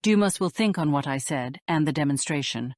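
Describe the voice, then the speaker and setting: A woman's voice: deep voice, expressive, a little fast. A woman playing a calculating female demon defector in an audiobook drama, speaking formally and measuredly in a roomy, echoing sound. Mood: guarded, calculating.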